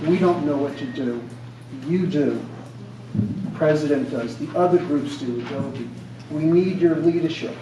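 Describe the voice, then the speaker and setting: A man's voice: a little high, unhurried. Emphatic older man from New York. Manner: plainspoken, emphatic, repeats himself. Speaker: community member. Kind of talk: speaking up at a community meeting. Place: large echoey meeting room. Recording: echoey room, distant mic, background hum.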